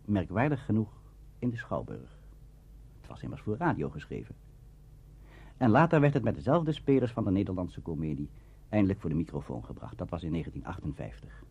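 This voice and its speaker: Husky, animated, formal male presenter. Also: on the low side. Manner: measured, formal, declamatory. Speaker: presenter of a radio history programme. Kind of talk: formal scripted lecture narration. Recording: dull, muffled archive radio recording.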